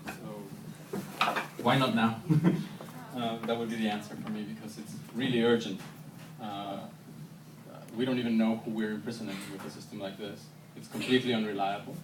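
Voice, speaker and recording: A man's voice: lowish voice, steady tone, normal speed. A man answering audience questions at a university screening, thoughtful, with many ums and restarts. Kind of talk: public Q&A answers. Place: university auditorium. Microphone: handheld camera from the audience. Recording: echoey hall, far handheld camera, noisy.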